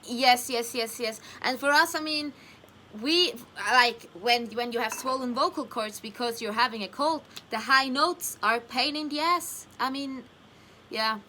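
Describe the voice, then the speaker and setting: High, bright woman who searches for words. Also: unhurried, a little hiss or hum. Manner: searches for words, laughs easily. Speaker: singer, interviewer. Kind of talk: casual interview chat.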